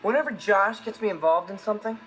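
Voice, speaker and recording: Cocky American teen, lively intonation. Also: male voice, sits high, quick pace, rough recording. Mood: casual. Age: teenager. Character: cocky, laid-back, girl-crazy teenage boy. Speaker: sitcom lead. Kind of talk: scripted comedic monologue to camera. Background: music.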